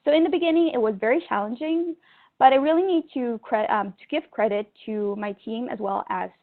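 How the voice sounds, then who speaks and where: A young woman from Thailand giving an earnest testimonial talk, steady, with frequent ums and self-corrections. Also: a little high, fairly quick. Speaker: procurement manager. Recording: tinny phone-like audio.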